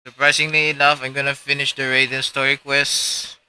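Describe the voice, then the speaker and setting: A man's voice: medium pitch, level tone, brisk, sharp tone, smooth, poor audio. A young man chatting casually on a live game stream with friends, slangy, rambling and jokey. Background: faint game music.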